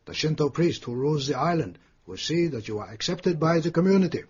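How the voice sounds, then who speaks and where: An actor playing a dutiful police superintendent in a radio drama, husky, precise and sober. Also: male voice, on the high side, animated, brisk, darkish tone, lightly acted. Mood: matter-of-fact. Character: Japanese police superintendent, dutiful, matter-of-fact. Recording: dull, muffled radio drama recording.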